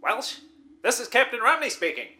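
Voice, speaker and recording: A pompous, high-pitched starship captain. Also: male voice, sing-song, moderate pace, pushed and bright, roomy sound, exaggerated voice. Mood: self-important, calling. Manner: pompous, declamatory. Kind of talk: sci-fi parody audio drama acting.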